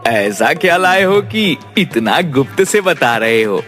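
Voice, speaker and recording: A woman's voice: deep voice, very animated, takes it slowly, a bit bright, soft background music, pitched below the performer's own voice, heavily acted. A woman voicing a greedy, wary, haggling old male merchant in a children's cartoon story. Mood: suspicious.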